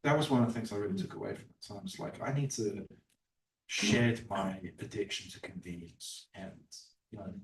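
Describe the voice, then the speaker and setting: A man's voice: on the low side, brisk, vocal fry. Rambling man in a roomy bookstore. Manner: rambling, many fillers and restarts, laughs often. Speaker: author presenting his book. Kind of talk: casual talk at a book event. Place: bookstore event room. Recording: roomy, distant from the mic.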